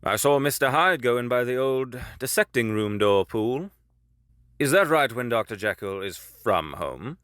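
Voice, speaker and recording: An actor playing a grave, troubled middle-aged gentleman lawyer in an audio drama, speaking formally and haltingly as he broods. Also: mid-range voice, lively intonation, no echo, clean studio sound, close to an everyday voice. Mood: inquiring, reserved. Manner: brooding, halting, formal old-fashioned speech.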